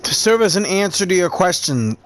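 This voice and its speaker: Casual mid-pitched game streamer. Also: big pitch swings, fairly quick, soft background music, low-quality recording. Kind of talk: casual gameplay commentary, reading along.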